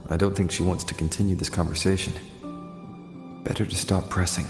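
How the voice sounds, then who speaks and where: A calm young male adventurer from a video game voiced by an actor, understated and inquisitive. His voice is very deep, and he speaks tactfully over clearly audible music. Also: brisk, close to an everyday voice. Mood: calm, curious. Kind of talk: scripted video game dialogue.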